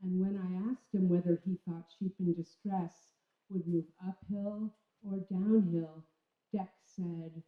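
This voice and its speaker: A woman reading a literary memoir on stage in an expressive, measured storytelling manner, with deliberate dramatic pauses. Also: on the low side. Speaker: reader of a literary memoir at a live storytelling event. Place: indoor stage venue. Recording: echoey room, dull lo-fi sound.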